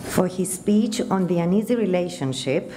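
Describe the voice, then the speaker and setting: A woman moderating a conference who introduces the next speaker in a measured, formal way. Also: on the low side, lively intonation, warm tone, slight background noise. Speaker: event moderator. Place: conference hall.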